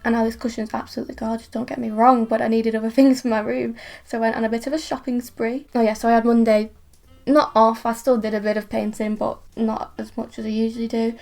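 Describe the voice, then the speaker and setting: Young northern english woman rambling about her painting, kinda croaky, gravelly edge, slightly high voice. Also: little pitch movement, fast talker, a little mellow. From England. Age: young adult. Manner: chatty, self-deprecating, rambling asides. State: croaky from just waking up, retainers in. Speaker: art vlogger. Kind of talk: casual chatty voiceover and to-camera talk.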